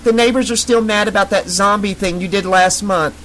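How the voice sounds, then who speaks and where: A man voicing a fretful middle-aged mother with a high-pitched, breathy voice. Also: fairly even intonation, a little fast, fairly bright tone, slight background noise, close to an everyday voice, poor audio. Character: fretful suburban mother calling through a door.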